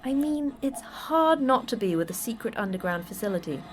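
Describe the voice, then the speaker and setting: A woman playing a terse, teasing manager of a secret monster facility in a fiction podcast, with a brisk, dry, flippant delivery. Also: fairly high pitch, very animated. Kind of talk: audio fiction podcast acting.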